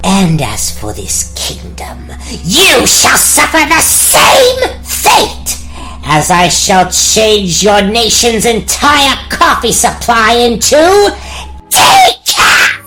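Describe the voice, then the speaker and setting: A woman's voice: on the high side, wildly swooping pitch, takes it slowly, exaggerated voice, low-quality recording. A woman voicing a vengeful, sassy wicked fairy witch with sarcastic, theatrical monologues in a fairy-tale parody radio play. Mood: gloating. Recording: music bed behind voice.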